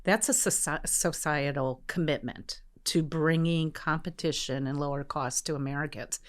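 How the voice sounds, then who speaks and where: A woman in her fifties with a low voice, explaining her field patiently and earnestly. She agrees often and builds her points step by step. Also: moderate pace. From Detroit, US Midwest. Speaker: guest, trade group executive. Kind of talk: interview answers.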